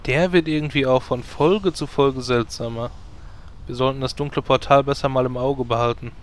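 A man plays a wry, sceptical adventurer in a gaming parody radio play. He speaks at a middling pitch, commenting and explaining in a dry way. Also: steady tone, normal speed, slight background noise, natural voice. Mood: wry, suspicious. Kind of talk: comedic audio drama parody acting.

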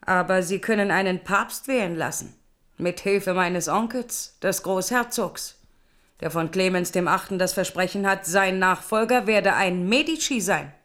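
A woman plays an imperious queen with a medium-pitched voice. She is scheming, haughty and insistent, in the manner of a radio play. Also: a little slow, fairly bright tone, close to an everyday voice. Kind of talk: German radio play acting.

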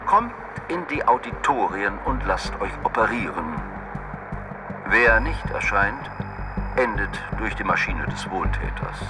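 An authoritarian state announcer declaims official propaganda in a radio play. The voice is on the low side and very animated, coldly triumphant, with music behind it. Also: male voice, measured pace, low-quality recording. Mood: triumphant, menacing.

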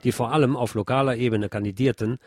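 A man presenting scripted news on community radio, reading in a measured reporting style with the odd dry aside. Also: medium pitch, fairly even intonation, a little mellow.

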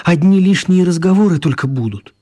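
An actor narrating a children's tale as the storyteller of a radio play. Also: male voice, high-pitched, expressive, a little fast, mellow. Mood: storytelling.

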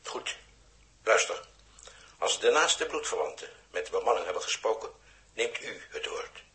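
Reserved behind-the-scenes authority,, deep, forceful, measured, vintage radio drama. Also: male voice, animated. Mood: calm, decisive. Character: reserved, commanding male mastermind who stays behind the scenes. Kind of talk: 1960s Dutch science fiction radio play acting.

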